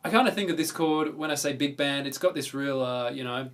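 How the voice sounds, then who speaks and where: A man's voice: medium pitch, a little fast. A man with an Australian accent presenting a casual guitar lesson in an informal, chatty, enthusiastic manner. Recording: guitar playing prominent alongside the voice. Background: acoustic or electric guitar strumming.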